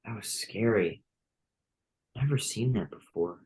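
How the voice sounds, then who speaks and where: A man's voice: fairly low pitch, animated, measured pace, darkish tone, husky, full character voice. A man playing a naive, hopeful mouse friend in an audio drama, speaking plainly and a little hesitantly. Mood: concerned.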